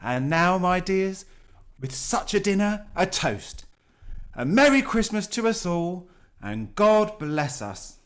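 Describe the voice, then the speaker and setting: A man performs a devout, mild Victorian father in a radio drama. He speaks slowly and gently, with a very mobile melody and a conciliatory temper. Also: high voice, sing-song, full character voice. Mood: gentle, devout. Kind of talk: amateur radio-play acting.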